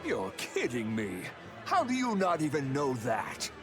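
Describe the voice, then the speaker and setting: A man's voice: medium pitch, huge pitch swings, unhurried. A man voicing a proud, earnest townsman in a video game, emphatic and loyal. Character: proud, devout townsman loyal to his rulers. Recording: music behind the voice.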